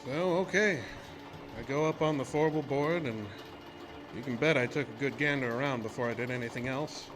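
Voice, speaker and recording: An actor playing a rough-spoken oil rig worker telling his own eerie tale in a colloquial, old-time radio horror monologue. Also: medium pitch, brisk, background noise, close to an everyday voice. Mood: grim, matter-of-fact. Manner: colloquial, folksy, haunted storyteller.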